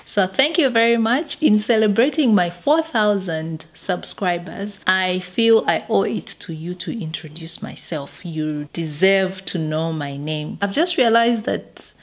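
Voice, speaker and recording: A woman's voice: mid-range voice, expressive. A Zambian woman in her forties with a Zambian accent, hosting her own channel and reading get-to-know-me questions aloud before answering each one. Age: 40s. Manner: unhurried, reads questions aloud then answers. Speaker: YouTuber.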